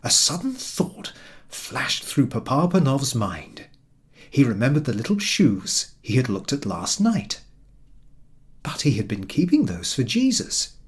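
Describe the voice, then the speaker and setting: A man narrating a storybook in an unhurried, dramatic, expressive manner, with a soft husky voice. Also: medium pitch.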